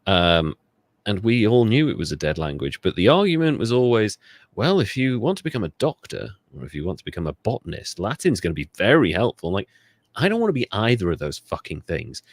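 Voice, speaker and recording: Low-pitched man with animated delivery. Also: brisk, dry recording. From Wales. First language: Welsh. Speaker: podcast co-host. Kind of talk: casual comedic chat.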